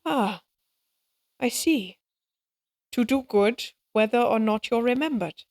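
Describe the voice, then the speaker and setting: A dry, close-sounding recording carries a slow, fairly high voice. It is a woman acting a gentle, lonely character in an audio drama. She is earnest and hesitant, full of wistful fondness. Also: lively intonation, dry recording.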